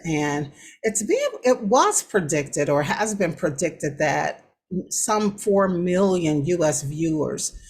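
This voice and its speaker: An American woman with a low-pitched voice hosts a casual talk show. She moves through news and personal stories conversationally, taking her time and pausing between phrases as she finds her words. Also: gravelly, slightly rough. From United States.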